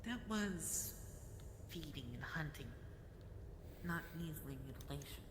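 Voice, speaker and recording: A woman speaking improvised role-play dialogue in a halting, measured way, as if explaining something carefully. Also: sits low, lively intonation. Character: earnest, diplomatic young queen. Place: indoor room, online voice chat. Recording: roomy, noisy amateur microphone.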